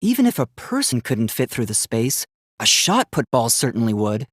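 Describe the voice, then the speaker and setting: A man's voice: medium pitch, big pitch swings, moderate pace, a bit bright, no echo, studio quality. A man voicing an earnest, polite young male robot in a video game, speaking in formal, precise, literal-minded phrases. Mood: analytical, matter-of-fact.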